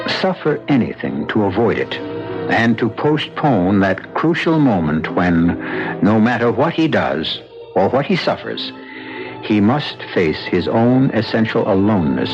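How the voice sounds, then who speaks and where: A man hosting and narrating an old radio mystery in a solemn, deliberate, dramatic style. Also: fairly low pitch. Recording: dull old radio recording with music.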